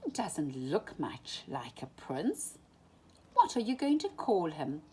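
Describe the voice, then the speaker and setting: A woman's voice: low voice, wildly swooping pitch, takes it slowly, dark tone, slightly rough, full character voice. A woman voicing an eager, blunt young male rabbit in a children's storybook, exclaiming emphatically. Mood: blunt, curious.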